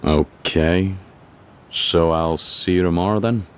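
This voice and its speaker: A man's voice: sits low, takes it slowly, smooth. An actor playing a stoic, terse tough man in a video game dub, with an American accent. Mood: calm, weary. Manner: terse, restrained. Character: stoic, plain-spoken tough man in hiding.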